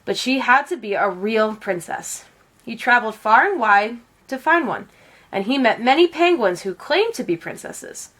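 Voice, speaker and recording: A woman reading a children's picture book aloud as an expressive storyteller. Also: on the high side, moderate pace. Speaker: read-aloud storyteller, teacher.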